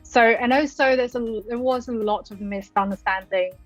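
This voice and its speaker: A woman from China who works as a marketing consultant, answering interview questions hesitantly with frequent pauses. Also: fairly high pitch, highs cut off, faint music behind. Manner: hesitant, many ums and restarts.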